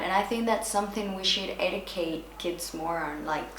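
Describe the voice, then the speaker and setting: A young woman with a Spanish accent talks slowly through a casual vlog. Her voice sits a little low. The room echoes and there is a faint hiss. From Spain, born in Argentina. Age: young adult. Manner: slow, relaxed, switches between languages. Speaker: YouTuber.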